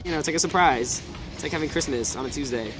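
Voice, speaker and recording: A man's voice: on the high side, fast talker. Dry tongue-in-cheek young man. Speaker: team leader. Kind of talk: mock-grandiose testimonial. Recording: loud music underneath.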